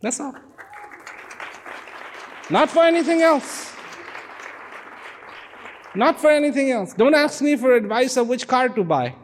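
A man's voice: very high-pitched, lively intonation, unhurried, pauses often, a faint crowd. A man giving a reflective talk to an audience, repeating phrases for emphasis and dropping at times to a near whisper. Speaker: speaker.

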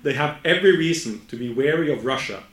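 A man from Norway with a Norwegian accent giving a conference presentation, speaking slowly and carefully and often correcting himself mid-word. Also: on the high side, lively intonation, a bit bright, slight background noise. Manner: slow, careful delivery with frequent self-corrections. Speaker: academic speaker. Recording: echoey room.

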